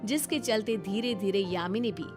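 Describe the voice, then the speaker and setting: A woman's voice: mid-range voice, moderate pace, over music. A woman telling a scripted story as a dramatic narrator.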